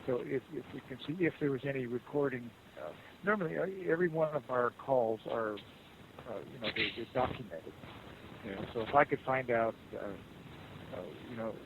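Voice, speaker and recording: Muffled, halting man on a support call. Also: mid-range voice, vocal fry. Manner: halting, rambling, conciliatory. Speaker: customer service call agent. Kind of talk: unscripted phone support conversation. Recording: muffled phone call with hiss.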